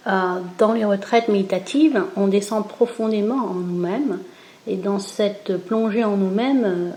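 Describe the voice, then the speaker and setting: A woman from Cambodia, speaking as an interview guest in a gentle, hesitant way with many pauses. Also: mid-range voice, echoey room. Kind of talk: spontaneous interview, reflective talk.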